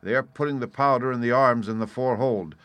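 A man voicing a blunt, stern ship's captain in an audiobook, clipped and forceful in his plain speaking. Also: mid-range voice, a little fast, no room sound, voice pushed up, close to an everyday voice. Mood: firm, insistent. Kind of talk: audiobook character voice.

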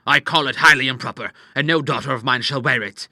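A man voicing a middle-aged gentleman doctor in an audiobook, an indignant father who speaks in a pompous, formal, emphatic way. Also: mid-range voice, expressive, brisk, no echo, clean studio sound. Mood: angry, outraged. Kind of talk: audiobook character acting.

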